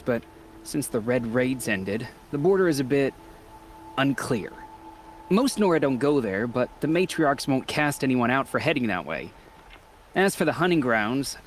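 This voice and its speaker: Man acting a cocky, lively taunting hunter. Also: medium pitch, normal speed, a little hiss or hum, natural voice. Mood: teasing, cocky. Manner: sarcastic, provoking. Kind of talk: video game dialogue acting.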